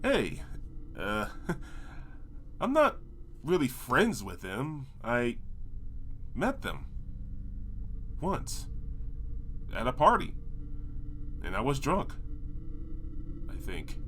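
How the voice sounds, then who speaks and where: Guy doing a whiny sarcastic cartoon pony dude, reluctant hero, pitch all over the place, bit of noise behind. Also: huge pitch swings, drawn-out words, pauses often, a bit bright, full character voice. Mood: queasy, awkward. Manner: sarcastic, whiny, exasperated, stammering protests. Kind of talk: comedic audio drama acting.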